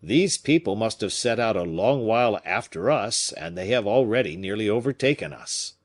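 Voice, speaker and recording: Brief: humble boatman, deferential, lively delivery, a male reader plays him. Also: on the low side, normal speed. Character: timid male river boat skipper.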